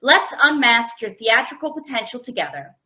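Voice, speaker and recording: Female announcer for theater credits: crisp forward bright tone, fairly high pitch, scripted promotional read. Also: very animated, normal speed.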